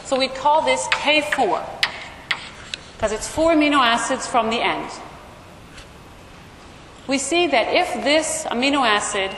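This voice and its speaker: A woman's voice: high-pitched, expressive, a little slow, long pauses. A woman lecturer explaining material to a class in an explanatory way, often checking in with a short questioning word, speaking into a microphone in a large lecture room. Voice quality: loud voice. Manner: explanatory, checks in with "okay?" and "right?". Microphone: microphone through room amplification. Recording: echoey lecture hall, amplified, faint noise.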